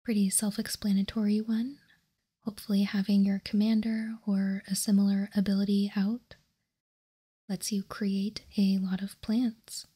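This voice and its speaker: A woman whispering softly and breathily in an ASMR style, close to the microphone, reading out and commenting in a careful, deliberate way. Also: medium pitch, level tone, husky. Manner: slow, soft, deliberate delivery with careful pauses. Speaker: host of a trading card ASMR channel.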